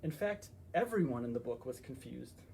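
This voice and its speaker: A man narrating a children's story in an animated style, as a story time reader. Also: mid-range voice, normal speed, creaky, slight background noise.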